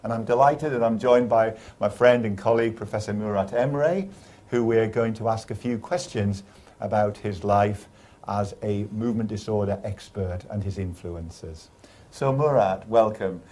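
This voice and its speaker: Man from the UK; interviewer, low mellow voice, encouraging and hesitant. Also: moderate pace. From United Kingdom. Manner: warm, encouraging questions with hesitations. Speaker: interviewer, neurologist. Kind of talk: oral history interview.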